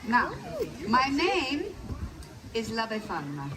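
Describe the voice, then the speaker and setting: A friendly old Italian witch, as a woman puts her on, full of playful coaxing and scolding. Her voice sits high and leaps around, with a put-on Italian accent. It is caught from a distance, outdoors, with plenty of background noise. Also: very animated, slow. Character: good-natured old Italian witch woman, gift giver. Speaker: costumed live performer. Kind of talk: live character storytelling to a crowd. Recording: distant mic, noisy outdoor crowd.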